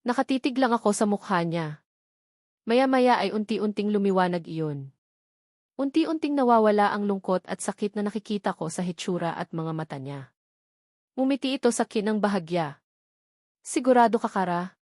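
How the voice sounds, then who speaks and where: A woman's voice: medium pitch, lively intonation, normal speed, a little mellow, no room sound, clean studio sound. A woman with a Filipino accent reads a first-person romance novel as a dramatic narrator. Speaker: audiobook narrator.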